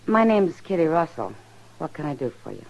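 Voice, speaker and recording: A woman's voice: sits low, big pitch swings, a little fast, gravelly, lo-fi sound, background noise, exaggerated voice. An American woman playing a frank, self-possessed saloon keeper in an old-time radio western, direct and unflustered. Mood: cool, direct. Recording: vintage radio recording.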